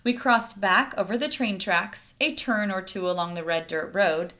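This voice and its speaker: Expressive woman reading a picture book aloud. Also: mid-range voice. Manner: pauses to ask listeners questions. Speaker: read-aloud storyteller.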